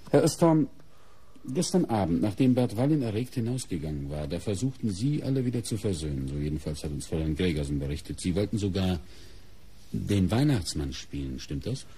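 A police inspector in a radio crime play, probing and coolly suspicious. His voice is low, mellow and somewhat husky. He questions deliberately, with pointed pauses and a lot of movement in his pitch. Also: lively intonation. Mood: suspicious, insistent. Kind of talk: German radio crime drama acting.

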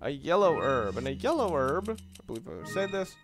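A man giving casual, off-the-cuff video game commentary, explaining things in a rambling, halting way. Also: a little high, sing-song. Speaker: let's play gamer. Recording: noisy, game sounds behind the voice. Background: video game sounds of boat and water.

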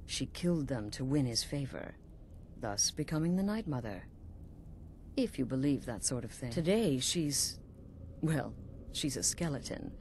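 A woman voicing a middle-aged assassin leader in a video game, coolly sinister and sly, measured and knowing with dramatic pauses. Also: very deep voice, darkish tone, slight background noise. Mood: wry, knowing.

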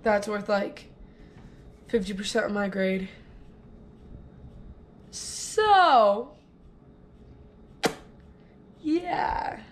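A woman's voice: mid-range voice, big pitch swings, drawn-out words, pauses often, slight background noise. A teenage girl vlogging casually to a handheld camera, rambling with fillers and trailing sentences. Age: teens. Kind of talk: casual vlog chat. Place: inside a car, then a house. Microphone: camera held at arm's length.

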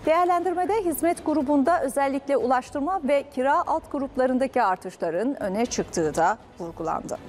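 A young woman reading business news as a studio anchor, in a crisp, measured newsreader delivery. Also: high-pitched, expressive, darkish tone, soft background music.

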